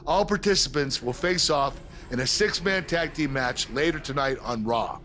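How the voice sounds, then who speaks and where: A man playing a calm backstage wrestling manager who explains things in a scripted game cutscene. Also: a little high, moderate pace, a little hiss or hum. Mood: matter-of-fact.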